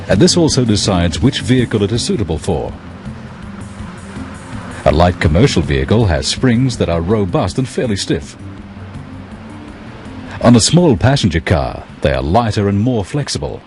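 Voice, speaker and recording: A man giving scripted technical narration in a measured, explanatory way. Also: deep voice.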